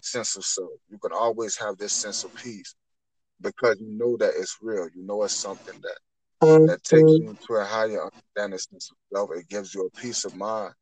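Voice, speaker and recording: A man's voice: on the low side, moderate pace, a little mellow, husky, low-quality recording. A man in his twenties speaking earnestly on spiritual matters in conversation, often repeating and rephrasing as he builds a point. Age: 20s.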